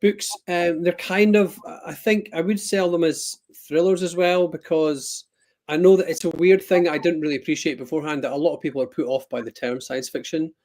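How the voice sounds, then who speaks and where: A man's voice: a little high, fairly even intonation, fairly quick, a little mellow. Scottish man with a raised voice. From Scotland. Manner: rambling, self-deprecating, many ums and restarts. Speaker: author guest. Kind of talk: casual live-stream chat.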